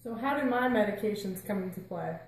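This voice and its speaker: A woman's voice: medium pitch, animated, brisk, gravelly. Woman playing inquisitive puppet patient, roomy echo. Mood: questioning. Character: inquisitive female puppet patient with high blood pressure. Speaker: patient asking questions. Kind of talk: scripted educational puppet-show dialogue.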